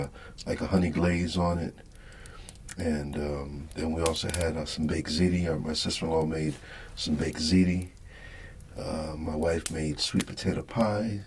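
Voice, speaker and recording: A middle-aged man hosting an ASMR video, rambling casually and softly with a hesitant delivery. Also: rumbling low, slight background noise. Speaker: ASMR channel host.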